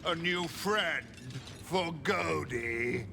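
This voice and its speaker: Cruel old undead torturer, creaky gravelly voice, very slow, mocking, gloating, video game, music behind. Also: male voice, a little high, animated, background noise, heavily acted. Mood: gloating, taunting. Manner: mocking, sing-song menace, speaks of himself in third person. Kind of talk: video game character acting.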